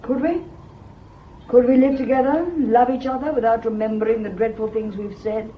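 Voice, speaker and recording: A woman's voice: fairly high pitch, steady tone, normal speed, lightly acted. An actress playing a sorrowful, disillusioned young lady in a radio drama, speaking in a grave, dramatic manner. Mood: disillusioned, resigned. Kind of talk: British radio drama acting. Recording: muffled audio with faint noise.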